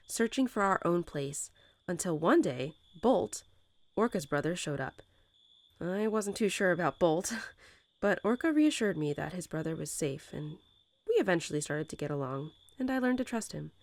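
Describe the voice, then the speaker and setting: A gentle young mother wolf acted by a woman murmurs to her newborn pups in a slightly low, unhurried voice. Her manner is tender and informal, like intimate bedtime storytelling. The recording is very dry, with no room around the voice. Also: lively intonation, no echo. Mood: fond, reminiscing. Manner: tender, unhurried, softly chuckling, hesitant informal phrasing. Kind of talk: soothing bedtime roleplay audio, intimate storytelling.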